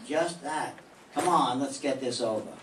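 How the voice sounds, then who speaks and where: A hard-boiled private eye, played by an older man in an old-time radio drama, dry and sardonic. His voice sits mid-pitched and comes from across a reverberant room, with a faint hiss underneath. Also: measured pace, a bit bright, distant mic, close to an everyday voice. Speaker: amateur radio play performer. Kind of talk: 1940s radio acting.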